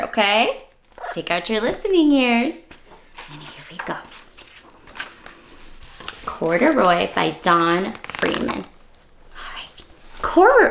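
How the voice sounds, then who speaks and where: A woman hosting an interactive read-aloud for young children, speaking directly to them with questions and exaggerated wonder. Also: a little high. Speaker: children's story-time host and reader.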